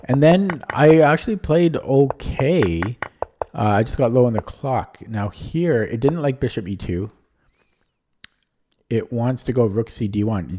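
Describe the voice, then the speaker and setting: A man with a lowish, mellow voice that is a little strained, thinking aloud while he streams chess. He talks casually and hesitantly and keeps questioning his own moves. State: voice strained from talking. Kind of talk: casual live-stream commentary.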